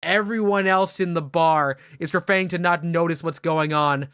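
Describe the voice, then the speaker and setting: A man's voice: on the high side, steady tone, normal speed, a bit bright, clean tone, no room sound, clean studio sound, natural voice. A man voicing a drunk, menacing brawler at a bar, brooding and ominous, in a mock-dramatic comedic reading. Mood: brooding menace. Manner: slurred, brooding, ominous storytelling in first person.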